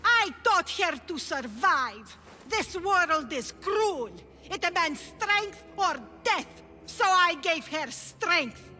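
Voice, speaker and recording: A woman's voice: extremely high pitch, animated, slow pace, bright, forceful tone, exaggerated voice. A woman voicing a sadistic, gloating cult priestess in a theatrical, menacing manner for a video game cutscene. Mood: fervent, menacing. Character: sadistic, gloating cult priestess who claims stolen children. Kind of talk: dramatic game cutscene acting. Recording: long reverb, music behind.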